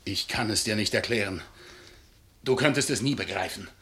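An actor playing a tormented middle-aged actor and troupe leader in a German radio drama, with an agitated, pleading, theatrical delivery. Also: male voice, lowish voice, moderate pace, fairly bright tone, natural voice. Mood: flustered, pleading.

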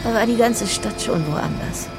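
A woman's voice: on the high side, moderate pace, gravelly, loud music behind the voice. Wary, coaxing rural mother. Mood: friendly. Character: working-class rural mother, wary, coaxing, a little flirtatious. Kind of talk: audio drama acting.

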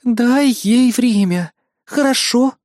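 A narrator voicing a sweet, timid and devoted young warrior woman with a gentle, eager manner in an audiobook story. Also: medium pitch, brisk, no echo, clean studio sound, pitched above the performer's own voice, natural voice. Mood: gentle pleading.

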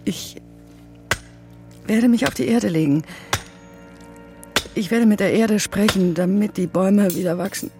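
Desperate lover played by a woman, fiercely devoted, incantatory and insistent, poetic radio drama, mid-pitched, over music. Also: measured pace, pauses often, dark tone, close to an everyday voice. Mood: pleading, visionary. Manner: insistent, incantatory, repeating short pleas.

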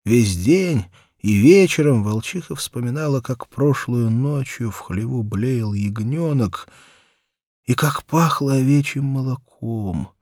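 A man narrating a children's story as an audiobook, theatrical and expressive, with dramatic pauses and emphasis. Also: mid-range voice, dry recording, studio quality.

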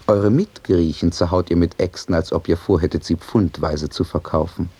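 An actor playing a stern, reproachful god in solemn, rhetorical radio-play declamation. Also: male voice, low-pitched, fairly even intonation, moderate pace, warm tone, slightly rough. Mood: accusing. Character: stern, reproachful messenger god scolding mankind. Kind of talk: classical comedy radio play acting.

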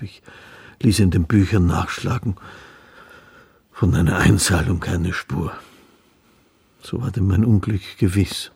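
An actor playing a melancholy, naive poor fiddler in a radio drama, speaking in a halting, subdued way as he recalls his lost love. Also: lowish voice, creaky, a little mellow, breathy edge, full character voice. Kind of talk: radio drama first-person narration.

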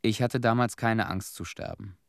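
An actor playing a haunted disaster cleanup veteran in a radio play, telling his story in short, measured, somber phrases. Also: on the low side, vocal fry, fairly bright tone, dry recording, studio quality. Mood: somber, bitter. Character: haunted Chernobyl cleanup veteran, a man bearing witness.

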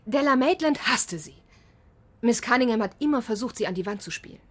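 An actress playing a chatty young understudy actress in a German radio drama, gushing and a bit nervous. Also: female voice, a little high, animated, fairly quick. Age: young adult.

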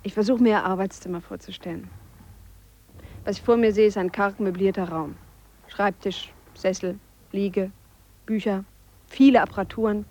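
An actress playing an earnest, reflective Swiss woman biologist who speaks a measured monologue in a radio play. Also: medium pitch, fairly even intonation, darkish tone, lightly acted. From Switzerland. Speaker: biologist character. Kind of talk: radio drama monologue, spoken letter.

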